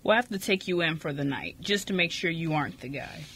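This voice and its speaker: A man playing a skeptical police officer in an amateur radio drama, measured and formal as he questions a suspect. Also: fairly high pitch, animated, creaky, bright, forceful tone, breathy edge, heavily acted. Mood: skeptical, procedural.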